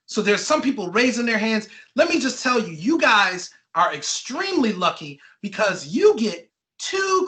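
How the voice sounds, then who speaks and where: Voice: high voice, pushed and bright. An author reading a children's book aloud and quizzing the children, encouraging them, repeating their answers and checking in with "right?" and "okay". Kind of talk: interactive read-aloud with question and answer for children.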